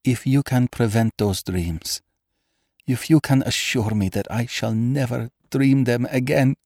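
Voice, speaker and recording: A man's voice: mid-range voice, lively intonation, moderate pace, warm tone, dry recording, clean studio sound, voice pushed up, lightly acted. A man voicing a dramatic, anxious, pleading noblewoman in an audiobook. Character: beautiful, cold yet frightened foreign noblewoman. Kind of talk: audiobook character acting.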